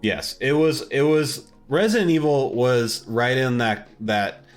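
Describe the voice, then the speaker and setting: A man's voice: mid-range voice, measured pace, faint music behind. A young man co-hosting a casual podcast, rambling and restarting his sentences as he searches for words. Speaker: podcast co-host. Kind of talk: casual chat.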